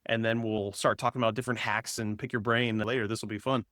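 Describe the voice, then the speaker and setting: A man's voice: on the low side, little pitch movement, fast talker, a bit bright, no echo, studio quality. Upbeat podcast host in his forties. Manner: upbeat, conversational questioning. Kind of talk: casual podcast interview.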